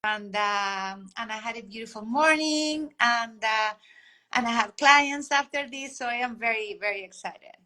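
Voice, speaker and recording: Bright upbeat woman from Argentina. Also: a little high, unhurried. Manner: earnest and upbeat, with many fillers. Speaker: guest, life coach. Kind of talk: casual video interview.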